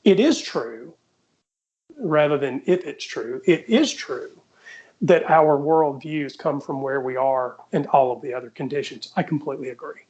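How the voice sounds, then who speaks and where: A man in casual debate, speaking in a measured, deliberate and conciliatory way as he orders his points. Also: a little high, darkish tone, dull audio.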